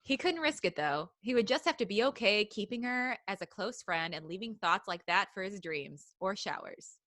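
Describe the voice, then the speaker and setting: Bright, giggly woman reading aloud. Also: mid-range voice, animated, moderate pace, dry recording, clean studio sound. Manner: giggly, breaks off with jokes. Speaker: podcast host. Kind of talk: reading fan fiction aloud on a live podcast.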